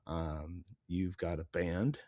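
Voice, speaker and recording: Stuffy-nosed man hosting, low voice. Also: steady tone, slow pace, darkish tone, no room sound, clean studio sound. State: stuffy, congested, recovering voice. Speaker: podcast host. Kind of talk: casual interview chat.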